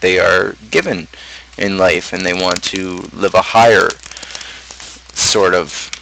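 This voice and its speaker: Low-pitched man, halting and rambling. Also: fairly even intonation, vocal fry, sharp tone, slight background noise, rough recording. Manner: halting, rambling, thinking aloud. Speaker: streamer host and amateur rapper. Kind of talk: casual talk explaining own song lyrics.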